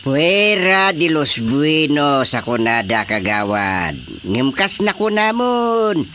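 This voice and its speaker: An actress playing a grudging, world-weary village neighbour in a radio drama. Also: female voice, low voice, very animated, slow, a bit bright, a little hiss or hum, full character voice. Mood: grumbling.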